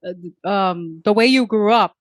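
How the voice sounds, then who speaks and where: A woman's voice: medium pitch, unhurried, dry recording, clean studio sound. A woman hosting a podcast, asking questions in an informal, chatty way and often chiming in with short acknowledgements. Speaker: podcast host, interviewer. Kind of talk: casual interview chat.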